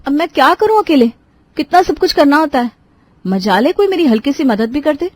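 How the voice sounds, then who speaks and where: Woman acting a exasperated young daughter-in-law, pitched up. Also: animated, brisk, a little mellow, dry recording. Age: young adult. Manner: complaining, exasperated. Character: overworked young daughter-in-law, resentful, grumbling, defensive. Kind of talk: Hindi cartoon story dialogue.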